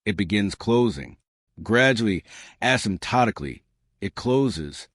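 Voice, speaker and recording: A man narrating a scripted science explainer, measured and deliberate, with slow emphatic short sentences. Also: lowish voice, expressive, a bit bright, no echo, clean studio sound.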